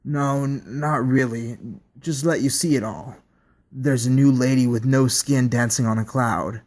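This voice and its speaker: A man doing a hushed, eerie test subject. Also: medium pitch, steady tone, lightly acted. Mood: dreamy. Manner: quiet, slow, rambling and dreamy. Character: unsettled male test subject, eerily calm and devoted.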